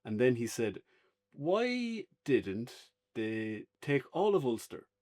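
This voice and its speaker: An Irish man chatting casually as a podcast co-host, unscripted, halting at times as he searches for words. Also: medium pitch, big pitch swings, darkish tone. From Ireland. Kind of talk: casual unscripted review chat.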